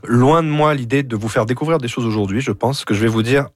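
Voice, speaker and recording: Husky Frenchman chatting as radio columnist. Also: medium pitch, fast, dry recording. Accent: French from France. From France. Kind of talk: casual radio commentary.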